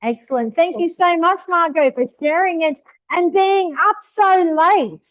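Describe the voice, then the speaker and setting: A woman's voice: extremely high pitch, measured pace, a bit bright, low-quality recording. A woman hosting a club meeting, encouraging and cheering members on as she calls on them. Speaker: meeting host.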